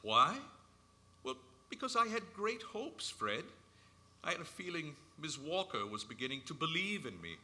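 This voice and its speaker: A man's voice: fairly high pitch, very animated, normal speed, pushed and bright, exaggerated voice. An actor playing an earnest, kindly old man with old-fashioned speech, a Santa Claus figure in a stage radio play. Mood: dejected.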